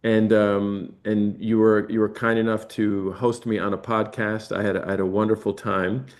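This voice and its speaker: A man's voice: on the low side, level tone, moderate pace, darkish tone. A man hosting a podcast interview, asking long, rambling questions that keep interrupting themselves. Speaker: podcast host. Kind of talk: interview conversation.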